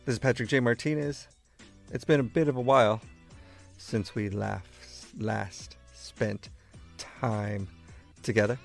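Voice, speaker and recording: An American man from East Los Angeles hosting a laid-back radio show, talking to listeners in deliberate, halting phrases. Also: fairly low pitch, fairly even intonation, vocal fry, soft background music. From East Los Angeles, United States. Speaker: radio host.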